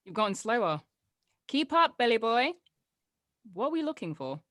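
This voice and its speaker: A woman's voice: on the high side, very animated, normal speed, a bit bright, dry recording, clean studio sound. A woman playing a sarcastic, headstrong teenage daughter in an audio drama table read, teasing her way through the lines.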